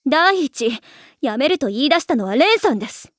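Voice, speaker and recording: High-pitched, prim anime rich girl. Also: very animated, a little fast, dry recording, clean studio sound. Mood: stiff, resigned. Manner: formal, refined ojou-sama way of speaking. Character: prim, proud teenage schoolgirl, self-blaming perfectionist with ladylike speech. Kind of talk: anime voice acting.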